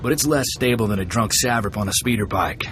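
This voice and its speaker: An actor playing a cocky, eager young gunslinger sidekick in a video game, folksy and plain-spoken. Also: male voice, fairly low pitch, a little fast, lightly acted. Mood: cautioning. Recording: clear music behind the voice.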